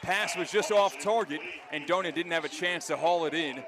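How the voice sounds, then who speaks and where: A man with an American accent and a fairly high voice calls football play-by-play in a descriptive, animated way. It is a live outdoor stadium broadcast with loud noise all around him. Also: normal speed, crowd noise. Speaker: play-by-play announcer.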